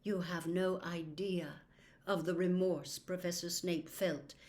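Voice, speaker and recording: Lady doing an old wizard headmaster from a storybook, hushed n calm, stern, formal, takes his time. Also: lowish voice. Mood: grave regret. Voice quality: quiet, hushed. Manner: measured, formal, grave.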